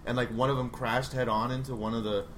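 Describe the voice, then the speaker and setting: A man with a mid-pitched, ordinary voice co-hosts a relaxed podcast. He tosses in quick reactions and sometimes works through a recap of a scene. A faint hiss runs under the recording. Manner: easygoing, chimes in with quick reactions. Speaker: podcast co-host. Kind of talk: casual podcast banter.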